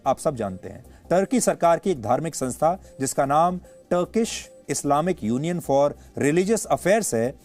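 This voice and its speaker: A male news anchor with an Indian accent delivering opinionated commentary in a deliberate, emphatic way with rhetorical repetition. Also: fairly high pitch, a little mellow. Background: background music.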